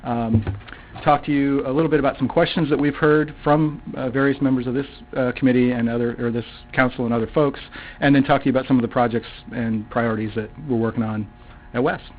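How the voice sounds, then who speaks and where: A soft-spoken man presenting to a city council in a halting way, with many fillers and restarts. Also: medium pitch, steady tone. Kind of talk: public meeting presentation. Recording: phone-like council audio.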